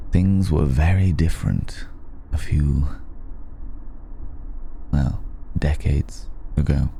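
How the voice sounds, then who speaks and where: A man playing an ancient, courteous vampire in an intimate ASMR roleplay, patient and seductive, with halting, deliberate, formal phrasing. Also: very deep voice, creaky, mellow, a little hiss or hum, exaggerated voice.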